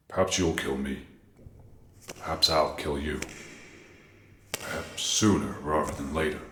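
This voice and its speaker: A man playing a grim, brooding masked vigilante in a radio drama, deliberate and ominous. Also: very deep voice, a bit bright, roomy sound, slight background noise.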